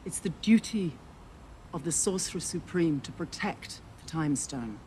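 An actress playing a calm, wise and grave woman in a film, speaking in a measured, solemn way. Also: low voice, slight background noise. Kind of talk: dramatic film acting. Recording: film music behind dialogue.

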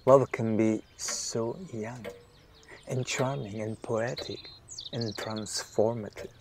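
An actor playing a weak-willed, lovestruck writer in an audio drama, earnest, halting and pleading. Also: male voice, lowish voice, fairly even intonation, darkish tone, slight background noise. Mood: dreamy, earnest. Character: weak-willed, lovestruck male writer begging his mistress.

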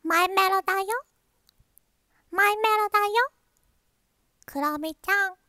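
Woman doing a cutesy high cartoon bunny voice, gentle and sing-song, loud and bright. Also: dry recording, studio quality, full character voice. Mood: sweet chiding. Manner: slow, sing-song, drawn-out sweetness. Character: sweet gentle female cartoon bunny, soft-hearted.

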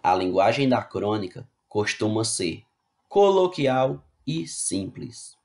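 A man's voice: on the low side, very animated, slow, a little mellow. A male teacher giving an online class, reading slide text aloud and then rephrasing it in plain words, often checking in with the students. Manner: explains casually, checks in with "né?" and "tá bom?".

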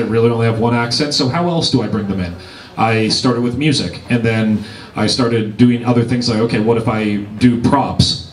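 A man's voice: fairly low pitch, slight background noise. A man with a Canadian accent giving earnest step-by-step advice as a game master on a panel. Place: large convention room. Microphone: handheld mic, heard from across the room. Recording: echoey room from far off.

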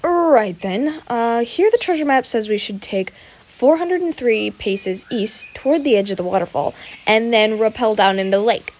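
Woman acting a high-voiced goofy young male adventurer. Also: normal speed, a little mellow, slight background noise, lightly acted. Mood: cheerful, bumbling. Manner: bumbling, playful, stammering. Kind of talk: audio roleplay addressed to the listener.

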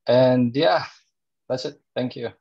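A man's voice: mid-range voice, takes it slowly, vocal fry, husky, highs cut off. A man from Morocco, a director and screenwriter, introducing his work on a video call. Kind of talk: self-introduction pitch.

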